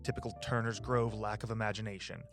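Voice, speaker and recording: An impatient, scornful husband in an audio drama, played by a man. His voice sits on the low side and pushes with open exasperation, with clear music behind it. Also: flat delivery, fast, lightly acted. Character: impatient, dismissive husband eager to sell inherited land.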